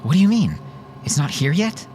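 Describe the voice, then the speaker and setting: A man's voice: a little high, very animated, normal speed, a little mellow, a little hiss or hum, pitched above the performer's own voice. A man voicing a tough, terse woman on the run, pressing and commanding, in an audio story. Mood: urgent demanding. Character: Miranda, tough urgent armed woman on the run.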